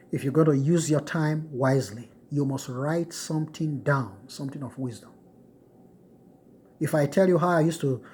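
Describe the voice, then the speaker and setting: A man's voice: on the high side, a little mellow, slight background noise. A middle-aged man from Nigeria giving an emphatic, repetitive motivational lecture to his students.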